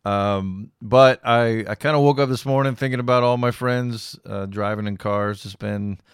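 A middle-aged man with a calming voice, hosting his own podcast in a relaxed, rambling way with self-deprecating humor. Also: fairly low pitch, moderate pace, clear, resonant tone, dry recording, studio quality. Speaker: podcast host. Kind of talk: casual solo podcast monologue.

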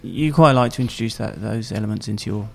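A man interviewing a guest, curious and prompting, sometimes halting mid-question. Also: on the low side, vocal fry.

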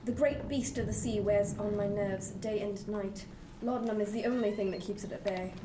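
A woman's voice: medium pitch, steady tone, moderate pace, close to an everyday voice. A woman playing a sour, haughty, ailing ship passenger in a period radio drama, curt, formal and given to dramatic complaint. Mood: peevish. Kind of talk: serial radio drama acting, period seafaring. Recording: echoey, noisy ambience behind.

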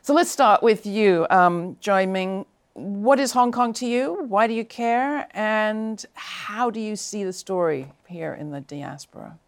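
A woman's voice: medium pitch, measured pace. A woman hosting an interview, introducing guests and asking follow-up questions in an engaged, conversational way, often saying "I mean.". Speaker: host.

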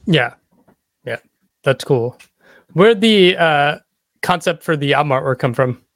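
A man with a slightly high voice hosts a casual podcast interview. His phrasing is loose and hesitant, and he is recorded close and dry. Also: lively intonation, a little slow, a bit bright, no echo. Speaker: podcast host.

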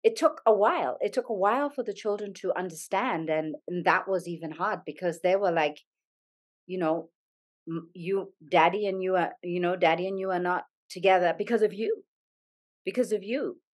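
A middle-aged woman from Zambia speaks in a fairly low voice. She is a podcast guest who talks earnestly and thoughtfully, often restarting her sentences as she works through a hard subject. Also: moderate pace. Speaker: podcast guest, yoga studio owner. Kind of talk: reflective conversational interview.

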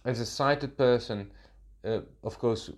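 A man interviewing someone, asking questions in a hesitant, halting way with many fillers. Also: medium pitch.

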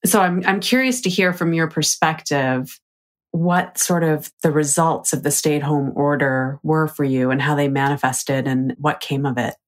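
A woman's voice: sits low, normal speed. A woman hosting a podcast, chatting with her cousin in an upbeat, encouraging way and laughing often. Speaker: podcast host. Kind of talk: casual interview chat.

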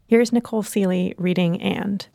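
A woman talking as a literary editor and host, discussing writing in a measured, analytical way. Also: mid-range voice, fairly even intonation, vocal fry, dark tone, no room sound. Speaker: magazine editor and podcast host.

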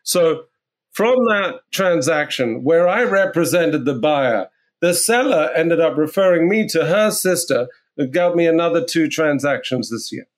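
Man, higher-pitched voice, real estate agent, blunt, animated storytelling, casual interview. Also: a little slow. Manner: animated, blunt storyteller who swears freely.

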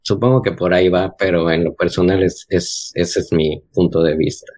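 Deep-voiced Mexican man, unhurried. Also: steady tone, a little mellow. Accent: Mexican Spanish. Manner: unhurried, often ends with a questioning tag. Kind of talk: casual chat.

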